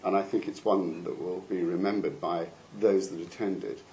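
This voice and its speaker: A man with a fairly low voice reminisces in casual conversation. He is effusive and emphatic, with faint noise in the background. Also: fairly even intonation, normal speed, mellow, low-quality recording.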